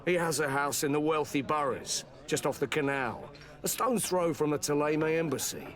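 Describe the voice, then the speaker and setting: A man's voice: a little high, moderate pace, close to an everyday voice. An actor playing a helpful, deferential townsman giving information in a video game, polite in manner.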